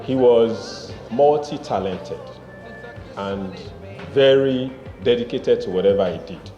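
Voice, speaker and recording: A man giving a reflective spoken tribute to a late mentor, in halting phrases. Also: mid-range voice, dark tone. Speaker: drama group leader. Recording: echoey room, dull sound, music over it.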